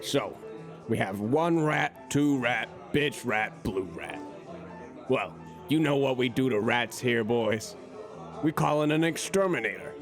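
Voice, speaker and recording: A man's voice: mid-range voice, lively intonation, a little slow, over music. An actor playing a crude, suspicious mob boss in an audio drama, threatening and sneering in slangy tough-guy talk. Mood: menacing.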